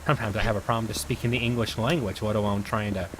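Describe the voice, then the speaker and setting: A man giving rambling, chatty gameplay commentary to his viewers, his voice worn and going hoarse. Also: fairly low pitch, fairly even intonation, very fast, background noise. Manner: rambling, chatty, talks to viewers while playing. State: losing his voice. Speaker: gaming video host.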